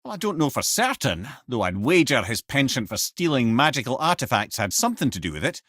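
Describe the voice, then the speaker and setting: A man's voice: mid-range voice, sing-song, a little fast, fairly bright tone, slightly rough, no room sound. A witty old Scottish sage for a video game. His delivery swings expressively up and down as he spins tales with wry, eloquent theatricality. The sound is dry and close, with no room on it. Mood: wry, conversational. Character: witty, worldly-wise old male storyteller companion. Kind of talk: video game character dialogue, lore storytelling.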